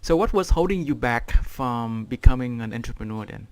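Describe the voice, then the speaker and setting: A man hosting an interview, asking questions with halting restarts. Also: medium pitch, lively intonation. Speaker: interview host.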